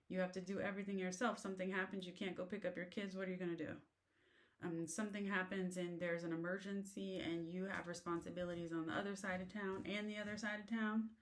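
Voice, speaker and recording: A woman's voice: medium pitch, steady tone, fast. An American woman talking casually and rambling with lots of fillers, as she shares advice on living abroad. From United States. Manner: chatty, rambling, many fillers. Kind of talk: casual talk to camera. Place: in a car.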